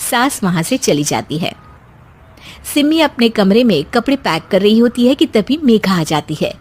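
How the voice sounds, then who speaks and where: A woman's voice: mid-range voice, sing-song, normal speed, darkish tone. A woman narrating a scripted family tale as a storyteller.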